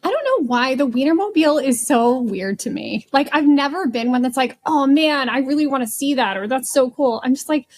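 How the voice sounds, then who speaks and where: A woman's voice: high voice, expressive, a little fast, few pauses, darkish tone. A woman on a podcast panel, chatting casually in an informal, musing way. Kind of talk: casual chat.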